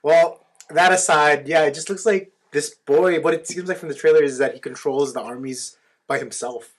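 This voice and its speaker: A man's voice: on the high side, normal speed. A man co-hosting a podcast in casual banter, slangy and full of questions. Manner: slangy, inquisitive. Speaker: podcast co-host.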